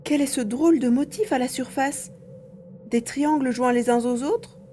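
A woman's voice: high-pitched, normal speed, mellow, a little hiss or hum, close to an everyday voice. A woman playing an eager, stubborn young boy in a dramatised audiobook, speaking expressively and earnestly. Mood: amazed, curious. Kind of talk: dramatised audiobook, first-person narration and dialogue.

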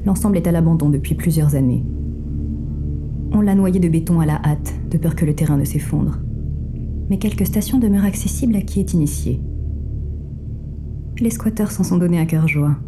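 A woman playing a cool, composed, controlling guide who narrates an audio drama in a measured, detached, formal manner. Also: deep voice, over music. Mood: detached, ominous.

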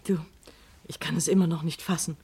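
Familiar woman friend, husky mellow voice, quick delivery, vintage radio crime drama. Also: deep voice, steady tone. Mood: amazed. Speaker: actor in a crime radio play. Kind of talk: mid-century German radio crime drama acting.

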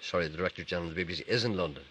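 A middle-aged man hosting a radio show, chatting playfully with deadpan humor and reading out listener messages. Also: low-pitched, racing, husky. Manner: playful deadpan teasing, spins tall tales. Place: radio studio.

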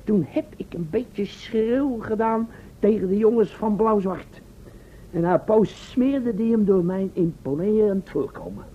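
Breezy boastful chap spinning yarns in an old radio play, high voice, faint hiss. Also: male voice, a little slow. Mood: cheerful bragging. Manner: colloquial, cheeky storytelling. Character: breezy, boastful male time-travel test subject, unschooled. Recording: tinny, band-limited old radio recording, hiss.

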